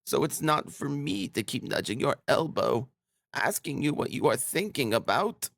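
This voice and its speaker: Guy doing an old mum in an audiobook, high voice, gushy, fussy, weepy-happy, dry sound. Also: very animated, normal speed, creaky, a bit bright, no room sound, exaggerated voice. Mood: fond fussing. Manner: gushing, effusive, rambling. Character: doting, flustered elderly mother, tearful with joy. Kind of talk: audiobook character acting.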